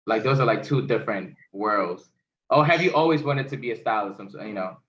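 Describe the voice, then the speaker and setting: A man's voice: fairly low pitch, animated, moderate pace, fairly bright tone. A man hosting an interview, upbeat and casual, asking follow-up questions with easy fillers. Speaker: interview host. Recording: echoey, dull-sounding room.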